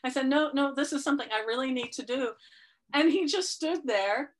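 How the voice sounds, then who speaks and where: A woman with a slightly stuffy, congested voice, chatting enthusiastically as an interview guest, full of fillers and lively storytelling. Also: high voice, fairly quick. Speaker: interview guest, fitness client. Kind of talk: casual conversational chat.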